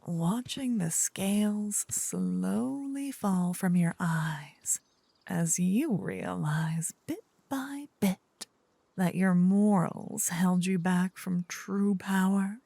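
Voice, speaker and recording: A woman's voice: medium pitch, mellow, no room sound, full character voice. A woman voicing a seductive, possessive demon queen in an intimate fantasy ASMR roleplay, often whispering, with teasing, smug menace. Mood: purring, gloating. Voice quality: breathy, husky, often whispering. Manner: slow, teasing, doting menace. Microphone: close, dry microphone.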